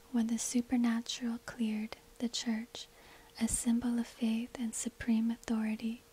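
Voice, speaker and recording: A woman delivers ASMR narration in a very breathy, unvoiced whisper. Her delivery is slow and hushed, with almost no melodic movement, spoken close into a binaural microphone. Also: a little high, poor audio. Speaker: ASMR video host and shopkeeper.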